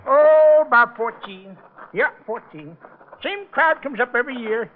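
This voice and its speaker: A man's voice: extremely high pitch, lively intonation, a little slow, a little hiss or hum. An actor playing a talkative, muddled old lodge foreman with a rural American accent, rambling and repeating himself in old-time radio style. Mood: folksy, rambling. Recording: dull vintage radio broadcast recording.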